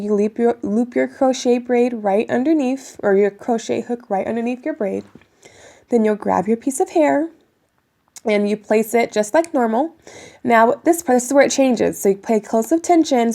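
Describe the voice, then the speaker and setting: A young woman with a raspy voice from a cold, chatting casually to viewers while demonstrating a hair tutorial at home. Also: fairly high pitch, dark tone. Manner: chatty, addresses viewers as you guys, many ums. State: raspy voice getting over a cold. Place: bedroom at home, indoors.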